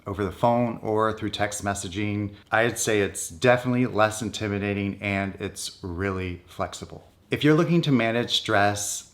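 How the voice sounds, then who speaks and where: A man's voice: on the low side, a bit bright. A North American man vlogging in a casual, candid and conversational way about his own life. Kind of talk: casual personal vlog talk.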